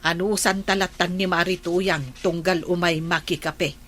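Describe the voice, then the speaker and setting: A woman's voice: on the low side, flat delivery, normal speed, fairly bright tone. A woman acting a patient, tactful village wife in a comedy radio drama, with an Ilocano accent. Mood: patient, placating.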